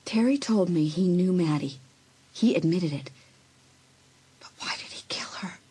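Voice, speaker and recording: A woman's voice: fairly low pitch, animated, measured pace, dark tone, slight background noise. A young woman playing an anxious, frightened teenage girl who keeps a secret, in a dramatised audiobook. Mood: anxious, brooding. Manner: breathy, often dropping to urgent whispers. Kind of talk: dramatised audiobook acting with inner monologue.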